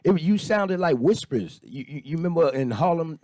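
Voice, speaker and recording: A man's voice: fairly high pitch, lively intonation, fairly quick, darkish tone, no room sound, studio quality. A man hosting a casual talk show, teasing his co-host with jokes. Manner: teasing, joking banter. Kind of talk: casual chat.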